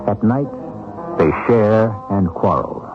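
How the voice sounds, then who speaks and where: Low-pitched, animated male radio narrator. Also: gravelly. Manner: measured, theatrical, short clipped phrases. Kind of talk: scripted dramatic storytelling narration for radio. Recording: dull, lo-fi radio recording with music. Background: music.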